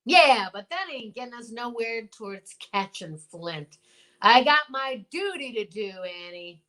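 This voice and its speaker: A folksy old small-town lawman in a radio play , acted by a woman. The voice is high for the part and very animated, full of hems and rustic exclamations. He sounds dutiful and a little flustered. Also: a little slow. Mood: urgent. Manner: folksy, drawling exclamations, hemming. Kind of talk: old-time radio drama acting.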